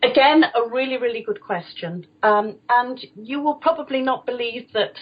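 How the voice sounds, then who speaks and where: A woman's voice: fairly high pitch, moderate pace, slightly rough, dull audio. A middle-aged British woman speaking as an interviewed voice coach, explaining conversationally and checking that she is understood. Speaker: guest voice coach, former opera singer.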